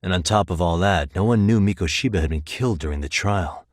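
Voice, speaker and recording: Deep-voiced, probing American detective. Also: male voice, dry recording, studio quality. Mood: analytical. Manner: measured, probing, pointed rhetorical questions. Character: sharp, determined adult male private detective, dry and probing. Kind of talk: video game voice acting, dramatic dialogue.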